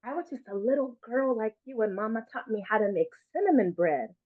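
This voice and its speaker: A woman's voice: on the high side, expressive, a little fast, phone-quality sound. A woman voicing a loving, encouraging grandmother who reminisces in a children's storybook, in a thin recording. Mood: patient teaching. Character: loving grandmother recalling her youth, encouraging.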